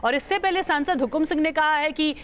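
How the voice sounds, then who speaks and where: A woman's voice: sits high, brisk, a bit bright. A woman presenting the news as an anchor.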